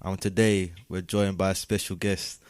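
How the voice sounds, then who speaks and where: A man's voice: low voice, little pitch movement, normal speed, clean tone, dry recording. A man hosting a breakfast show interview, chatting informally with plenty of street slang. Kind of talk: casual urban chat interview.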